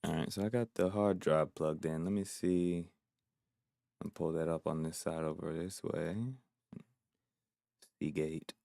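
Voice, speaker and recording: Deep, smooth-voiced man hosting a livestream. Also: little pitch movement, measured pace, pauses often, mellow, no room sound, clean studio sound. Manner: laid-back, laughs often, reads chat aloud. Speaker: music producer hosting a livestream. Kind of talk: casual livestream chat.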